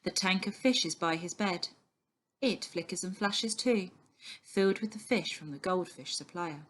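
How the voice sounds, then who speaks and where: A woman giving an expressive, dramatic reading of a children's novel as storyteller. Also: on the low side, moderate pace, a little hiss or hum.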